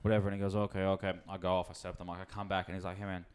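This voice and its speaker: A man's voice: low voice, little pitch movement, very fast, clear, resonant tone. A man hosting a podcast in casual chat, rambling through stories and laughing as he talks. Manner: rambling storyteller, laughs while talking. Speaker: podcast host.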